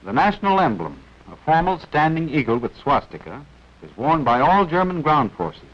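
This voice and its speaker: An American man narrating a 1940s military training film in an instructive, wry manner with deliberate emphasis. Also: on the high side, sing-song, muffled recording. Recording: band-limited 1940s film soundtrack.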